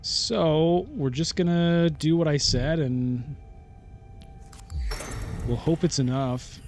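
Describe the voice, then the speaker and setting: A man giving casual game commentary as a let's-play host, thinking aloud in a halting, deliberating way and often questioning himself. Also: on the high side, a little mellow, soft background music. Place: solo recording of a digital board game playthrough.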